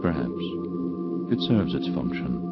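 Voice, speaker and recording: An aloof, commanding angel, acted by a man, speaks in an extremely low voice with terse formality. The audio drama sound is dulled, with loud music behind. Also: sing-song, a little slow, lo-fi sound, exaggerated voice. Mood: cool, detached.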